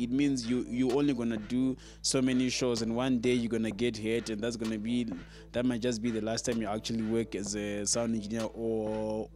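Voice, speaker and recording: Casting: male presenter, mid-pitched voice over music, earnest informal tutorial delivery with halting repetitions. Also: darkish tone. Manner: halting, repeats words as he explains. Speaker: sound engineer and video host giving advice. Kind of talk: informal explainer to camera.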